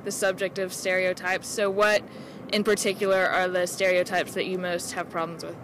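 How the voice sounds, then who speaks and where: A woman's voice: on the low side, level tone, moderate pace, background noise. A woman interviewer asking questions in a casual, conversational way, recorded outdoors. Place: outdoors on a hotel rooftop by a pool.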